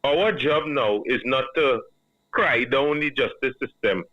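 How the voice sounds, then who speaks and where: A man with a Trinidadian accent, calling in to a radio show and giving a measured, earnest opinion. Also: medium pitch, no echo. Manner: measured, earnest, reasoning aloud. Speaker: caller. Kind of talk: call-in radio commentary. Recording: dull, dry phone line.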